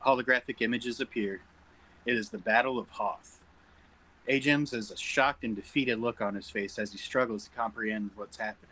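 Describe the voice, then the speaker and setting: A man with a very bright, forward voice reads screenplay stage directions at a table read. He works through scene headings and action as scripted narration. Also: medium pitch, normal speed, low-quality recording.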